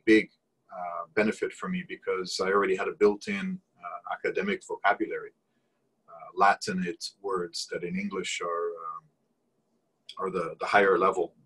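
Man, forties, from Brazil, first language Portuguese, low voice, slightly husky, thoughtful, repeats and restarts words, video call webcam. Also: fairly bright tone, rough recording. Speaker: guest. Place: small room at home.

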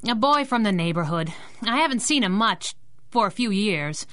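Woman playing a feisty masked sidekick, sharp lively voice. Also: medium pitch, moderate pace, dry recording, clean studio sound, close to an everyday voice. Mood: tough, dry. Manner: sassy, tough, slangy. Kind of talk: 1930s radio drama acting.

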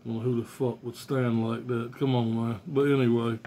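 A man's voice: medium pitch, level tone, normal speed, dark tone. An American man in his forties chatting casually and unscripted to camera as a music fan, blunt and slangy with swearing, recorded at home on a handheld-distance device. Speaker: music fan reviewing albums on video. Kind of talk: casual unscripted vlog chat. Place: small room at home. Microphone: phone or tablet at arm's length. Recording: noisy phone recording with clicks.

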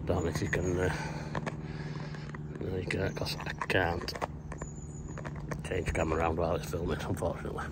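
A man's voice: low-pitched, little pitch movement, normal speed, pauses often. A middle-aged man chatting casually to his phone camera by a lake, plain-spoken and often trailing off with an "uh". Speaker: angler vlogger. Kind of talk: casual fishing vlog to camera. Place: outdoors by a lake, and inside a fishing shelter at night. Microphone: phone held at arm's length. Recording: outdoor background noise clearly audible.

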